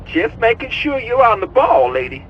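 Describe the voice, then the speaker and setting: A man's voice: very high-pitched, moderate pace, highs cut off, slight background noise. An actor playing a cocky, slangy young American tech support guy in a video game cutscene. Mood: teasing. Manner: slangy, animated, excitable.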